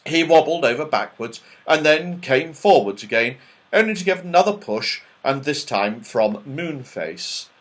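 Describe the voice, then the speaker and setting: A man narrating a children's storybook as an audiobook reader, with expressive, dramatic delivery. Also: a little high, a little slow.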